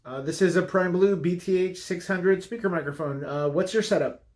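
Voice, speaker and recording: A man with a fairly high voice speaks over a push-to-talk radio link. He chats casually, like a tech support manager doing a radio check. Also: moderate pace, a little mellow. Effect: push-to-talk radio transmission.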